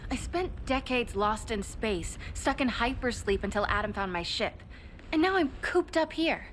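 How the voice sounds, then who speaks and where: An actress playing a defiant, restless and idealistic young superheroine in a video game cutscene. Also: female voice, fairly high pitch, animated, moderate pace, a bit bright, a little hiss or hum. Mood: pleading, restless.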